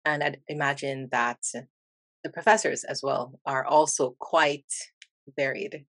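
A woman with a Jamaican accent speaks in a notably low, even voice. She talks in a thoughtful, measured way, close to the mic in a dry room. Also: no room sound. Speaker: English teacher and interviewer. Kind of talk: conversational interview.

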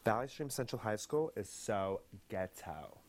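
A man's voice: big pitch swings, a little slow. A man playing a whiny, exasperated young Long Island woman in a comedy sketch, with faint noise behind. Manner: whiny, exasperated, shrill outbursts.

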